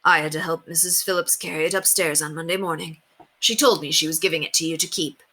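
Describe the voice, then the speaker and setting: A mild middle-aged storybook dad voiced by a woman, casual and curious about things. The pitch is on the low side and the tone is matter-of-fact. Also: fast, sharp tone, full character voice. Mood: musing.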